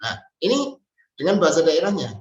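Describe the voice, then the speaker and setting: A man's voice: a little high, big pitch swings, darkish tone. Man teaching in a roomy echo. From Central Java, Indonesia. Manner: slow, hesitant, with many fillers and drawn-out pauses. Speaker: Arabic teacher. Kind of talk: spoken language lesson. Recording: distant mic, roomy and dull sound.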